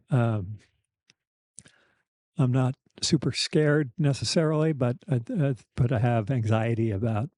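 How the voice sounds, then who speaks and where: A middle-aged or older man speaking candidly and haltingly as a podcast host, with a soft, slightly weakened voice, a slight lisp and wry reflection. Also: medium pitch, no room sound, studio quality. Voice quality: slight lisp, thick-tongued articulation. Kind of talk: reflective personal conversation.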